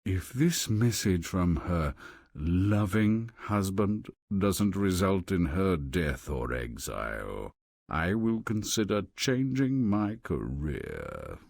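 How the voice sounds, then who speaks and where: A sinister devil out of a fairy tale game. He speaks slowly with cold, calculating menace, and the recording is dry and close. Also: deep voice, sing-song, gravelly, darkish tone, no echo, heavily acted. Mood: sinister, irritated. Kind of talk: theatrical video game fairy tale acting.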